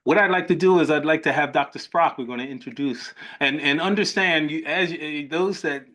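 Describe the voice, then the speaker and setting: A man's voice: a little high, fairly quick, fairly bright tone. Older male doctor-host, effusive, rambling, restarts his phrases. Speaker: host, physician. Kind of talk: webinar hosting.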